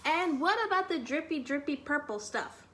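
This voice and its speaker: A woman voicing a curious, eager little boy mouse in a storybook, asking drawn-out, wondering questions. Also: sits high, expressive, fairly bright tone, a little hiss or hum.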